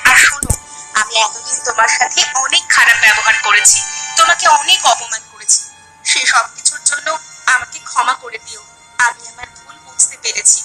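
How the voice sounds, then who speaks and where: Casting: actress as young cartoon village wife, highish voice, earnest, resolute, music behind. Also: female voice, a little fast, natural voice, poor audio. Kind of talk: Bengali animated story dialogue.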